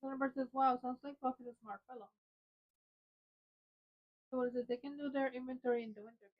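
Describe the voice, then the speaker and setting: A woman's voice: sits high, fairly even intonation, fairly quick, dull audio. A woman co-hosting a live stream, reading viewer comments aloud with dry asides. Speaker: co-host reading chat. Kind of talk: casual live chat.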